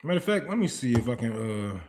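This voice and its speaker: Animated Detroit man ranting on stream. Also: mid-range voice, fast, slight background noise. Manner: reads chat and thanks donors aloud. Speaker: livestream host. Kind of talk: casual livestream commentary.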